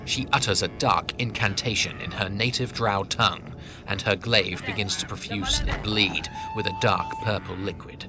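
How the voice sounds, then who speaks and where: Male narrator: low voice, solemn fantasy storytelling, heavy music bed behind. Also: fairly even intonation, normal speed. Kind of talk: scripted narration of fantasy audio drama. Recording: loud music over the voice. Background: loud music.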